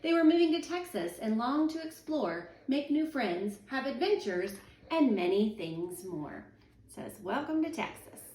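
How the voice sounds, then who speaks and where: A woman, a teacher in her thirties, reading a children's picture book aloud at home in a sing-song, expressive way. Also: a little high, measured pace. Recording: echoey room, voice a little far from the mic.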